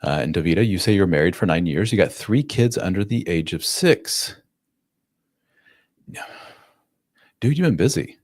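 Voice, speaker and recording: A man nearing fifty talks through viewer questions as a relationship coach, loose and conversational. His voice sits very low, and the sound is close and dry, with almost no room around it. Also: lively intonation, measured pace, long pauses, darkish tone, no room sound. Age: 40s. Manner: casual, plain-spoken, acts out quotes in a raised voice. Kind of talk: live-stream Q&A.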